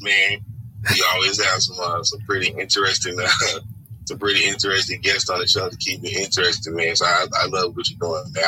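A man from Atlanta with an Atlanta Southern accent, a podcast guest talking in a rambling, animated way and laughing often. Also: low voice. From Atlanta, Georgia. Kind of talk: casual storytelling chat. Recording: phone call with faint background noise.

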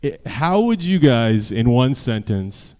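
A man's voice: medium pitch, sing-song, slow pace, a little mellow, big-room sound. A man hosting a casual podcast chat with a band, laid-back, laughing often and swearing casually. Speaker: podcast host.

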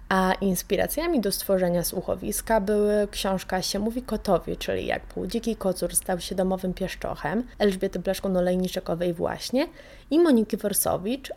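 A woman's voice: mid-range voice, vocal fry, a little mellow. A woman hosting a radio programme, introducing things in a conversational way. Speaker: radio host and audio drama maker. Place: at home, near a balcony.

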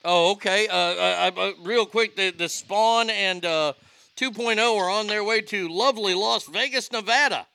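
A man hosting a casual talk show, ranting in an animated, profane way. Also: high-pitched, normal speed, vocal fry, clear, resonant tone, no echo. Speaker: host.

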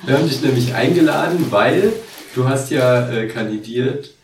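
A man in his twenties hosting a podcast, asking relaxed, friendly questions with fillers. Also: mid-range voice, moderate pace, a little hiss or hum. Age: 20s. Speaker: podcast host. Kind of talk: casual interview. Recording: roomy echo, mic at a distance.

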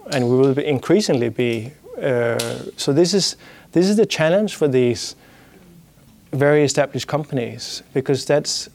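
A man's voice: mid-range voice, a little mellow. A man with a Danish accent giving a business talk, thinking aloud with many restarts and "you know" fillers.

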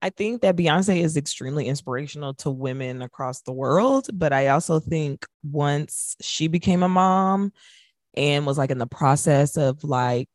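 A woman's voice: sits low, moderate pace, a little mellow, no room sound, clean studio sound. A woman chatting casually as a guest on a talk show, conversational and peppering her sentences with "like". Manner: conversational, uses "like" very often. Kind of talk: casual chat.

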